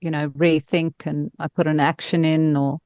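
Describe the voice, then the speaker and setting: A woman's voice: low-pitched, flat delivery, normal speed, darkish tone, no room sound, muffled recording. A woman in her fifties chatting casually as an interview guest, effusive and self-deprecating about her own work as a novelist. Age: 50s.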